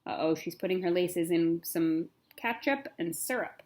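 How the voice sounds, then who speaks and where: A woman reading a picture book as a pre-K teacher, expressive, with simple explanatory asides for young children. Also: lowish voice, moderate pace.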